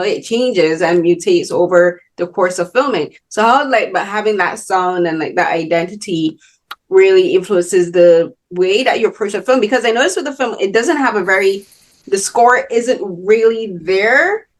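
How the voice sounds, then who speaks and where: A young woman with a Bajan accent hosting an interview, talking in long loose sentences full of restarts and "like" fillers. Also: medium pitch. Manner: rambling, many restarts and "like" fillers. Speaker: host. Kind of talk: casual interview chat.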